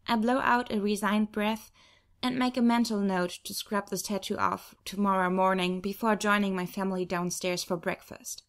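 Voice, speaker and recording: Woman reading fiction expressively, mid-pitched. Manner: expressive storytelling. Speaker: audiobook reader. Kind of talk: scripted first-person fiction narration.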